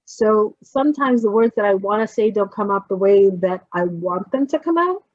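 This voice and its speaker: Woman, New Jersey accent, relaxed workshop host on a dry, dull computer mic. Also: medium pitch, moderate pace, no room sound. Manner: relaxed, chatty, often trails off while fumbling with screen sharing. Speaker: poet leading a workshop. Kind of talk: casual workshop talk on a video call. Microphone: computer mic on a video call. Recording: dull, lo-fi video call audio.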